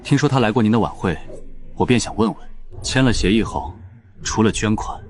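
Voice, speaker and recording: A cool, sly young man played by a male actor in an animated drama. His voice sits fairly low and he speaks briskly, with a blunt, probing delivery. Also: over music, lightly acted. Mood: calm, probing. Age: young adult. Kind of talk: scripted Chinese animated drama dialogue.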